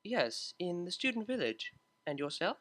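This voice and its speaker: A prim young mare cellist, formal and exact in every word, voiced by the story's reader. The tone stays quiet and controlled, with a lively rise and fall under the polish. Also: mid-range voice, normal speed. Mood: polite. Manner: formal, precise wording. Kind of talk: fan fiction reading, character voice.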